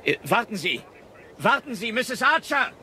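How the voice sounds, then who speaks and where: A man's voice: very high-pitched, sing-song, measured pace, bright, forceful tone, a little hiss or hum, exaggerated voice. An actor in a German radio thriller playing an agitated male investigator calling after someone.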